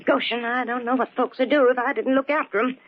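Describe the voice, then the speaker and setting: A woman's voice: sits high, steady tone, fast talker, a little hiss or hum, lightly acted. An actress playing a bossy, mothering older housekeeper with folksy, scolding speech in a radio play. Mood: scolding, fretful. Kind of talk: old-time radio character acting. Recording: dull, lo-fi radio drama recording.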